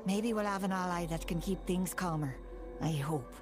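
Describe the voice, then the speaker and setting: A woman's voice: lowish voice, moderate pace, close to an everyday voice. A woman playing a watchful, resolute leader of a sisterhood in video game dialogue. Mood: guarded, resolute. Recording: music behind the voice.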